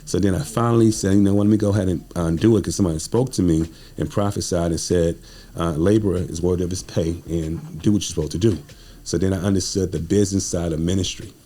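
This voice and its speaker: A man's voice: low-pitched, steady tone, quick pace, warm tone. An American man talking as a guest playwright, telling stories in a conversational, informal and slangy way. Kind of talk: conversational interview storytelling.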